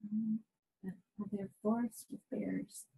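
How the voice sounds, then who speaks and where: A woman's voice: medium pitch, fairly even intonation, slow, vocal fry, dark tone, husky, poor audio. A woman on a video call passing on students' questions to a presenter.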